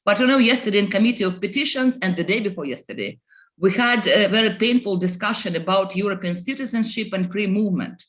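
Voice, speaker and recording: A woman's voice: mid-range voice, fairly bright tone. Woman politician, earnest speech, lots of uhs and restarts. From Estonia. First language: Russian. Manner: earnest, halting with fillers and restarts. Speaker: member of parliament. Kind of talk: conference speech.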